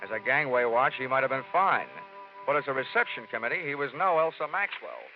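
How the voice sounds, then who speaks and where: An actor playing a wry, tough American investigator in a 1950s radio detective drama, speaking in a clipped, sardonic way. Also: male voice, medium pitch, expressive, a little fast, music in the background, close to an everyday voice. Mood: dry, sardonic. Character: wry, tough American insurance investigator narrating his case. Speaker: lead detective and narrator. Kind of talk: 1950s radio drama acting, first-person narration. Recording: muffled 1950s radio recording.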